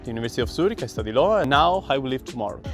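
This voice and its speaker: A young Italian man, an exchange student, answers interview questions in a mid-pitched voice. He gives blunt tips, restarting his sentences often. Faint music plays under him. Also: lively intonation, rapid-fire. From Italy. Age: young adult. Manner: frequent restarts, blunt advice.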